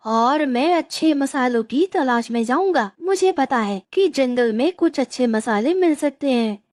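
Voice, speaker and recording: A woman's voice: high-pitched, expressive, unhurried, a little mellow, no echo, studio quality. A woman voicing a caring, helpful male crow in a cartoon moral story. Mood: eager. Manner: earnest, helpful.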